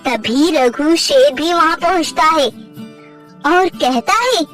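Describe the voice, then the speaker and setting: Woman, high-pitched voice, slow children's story narration, expressive, music behind. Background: background music.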